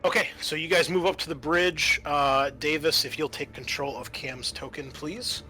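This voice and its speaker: A man's voice: on the high side, fairly even intonation, normal speed, pushed and bright, faint music behind. A man narrating a tabletop roleplaying game as game master, mixing description with informal table talk.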